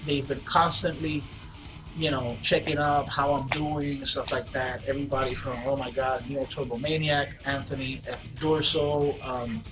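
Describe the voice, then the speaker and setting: A man's voice: medium pitch, flat delivery, normal speed, poor audio. A man in his fifties with a stuffed-up, congested voice, giving a rambling webcam update to his gaming channel's viewers, with lots of fillers and restarts. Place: small room with wood-panel wall. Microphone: webcam at desk. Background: guitar music playing.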